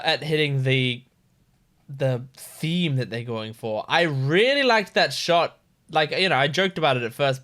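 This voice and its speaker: Rambling man reacting casually, bright voice. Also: fairly high pitch. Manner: rambling, informal, swearing. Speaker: reaction video host.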